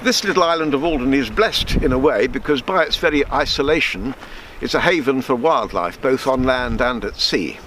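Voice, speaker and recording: Older man storytelling with very lively intonation. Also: mid-range voice, rough recording. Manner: measured, with stumbles and repeats in reading. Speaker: storyteller reading his book. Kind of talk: narrated true sea tale, read aloud.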